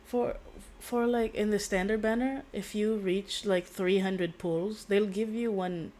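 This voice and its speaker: A woman's voice: mid-range voice, fairly even intonation, unhurried, a little mellow. A woman livestreaming a game with casual, giggly, rambling commentary. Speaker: streamer. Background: video game audio.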